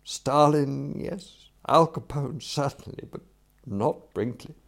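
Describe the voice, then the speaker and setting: A man voicing an excitable young upper-class English gentleman in an audiobook, with a blustering, exclamatory, slangy manner. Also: mid-range voice, slow pace, creaky, pitched above the performer's own voice. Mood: indignant. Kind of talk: acted audiobook dialogue.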